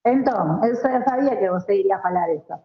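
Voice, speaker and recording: A woman's voice: medium pitch, lively intonation, fairly quick, echoey room, lo-fi sound. A woman from Argentina with an Argentine accent and native Spanish, talking casually as a guest and mixing Portuguese with Spanish. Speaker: guest, marketing professional.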